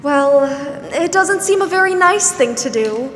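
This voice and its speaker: A young woman playing a warm, pleasant, fussing woman of sixty in a 1940s-style radio drama on stage. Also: very high voice, expressive, unhurried, full character voice. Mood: gracious, regretful. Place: large theatre stage, indoors. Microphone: stand microphone, masked. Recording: echoey stage hall, faint noise.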